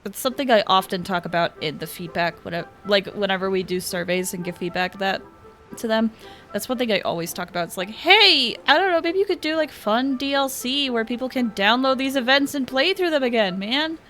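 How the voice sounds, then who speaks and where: A woman's voice: fairly high pitch, fairly quick. A woman game streamer giving casual, chatty live commentary with trailing-off asides. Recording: soft game music behind.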